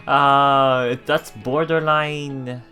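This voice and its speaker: A woman doing a visual novel let's play, reading the text aloud and adding playful, expressive casual commentary. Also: very deep voice, very slow, music in the background.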